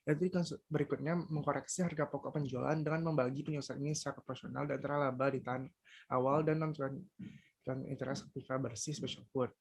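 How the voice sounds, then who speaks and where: A young Indonesian-accented man presents scripted academic material like a university student. His voice is mid-pitched and rough, with a gravelly creak. He reads haltingly and trips over English technical terms. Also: darkish tone. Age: young adult.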